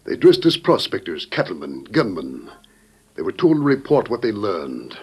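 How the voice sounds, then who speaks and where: An actor playing a grave, dignified president with solemn, weighty oratory in an old-time radio drama. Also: male voice, on the low side, huge pitch swings, moderate pace, darkish tone, slightly rough, exaggerated voice. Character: grave, dignified American president briefing a secret agent.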